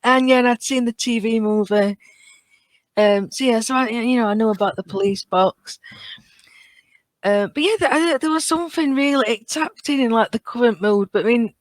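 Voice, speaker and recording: A British woman in her thirties chatting casually and telling stories in an animated, chatty way. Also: mid-range voice, no echo. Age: 30s. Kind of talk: casual conversational chat.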